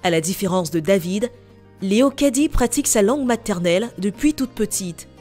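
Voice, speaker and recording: A woman's voice: medium pitch, faint music behind. Woman reading a news voice-over. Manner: even, measured reading. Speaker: TV reporter.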